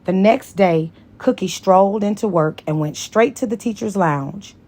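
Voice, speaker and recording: A woman's voice: medium pitch, normal speed, darkish tone. A woman reading a story aloud as scripted audiobook narration. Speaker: audiobook reader.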